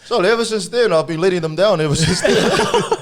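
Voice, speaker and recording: A man's voice: high voice, normal speed. A man in his late twenties with a New Zealand accent, telling stories in a casual podcast chat, laughing often and dropping "eh" and "man". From Samoa, raised in New Zealand. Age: late 20s. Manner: laid-back, laughs often, uses "eh" and "man". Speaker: podcast guest.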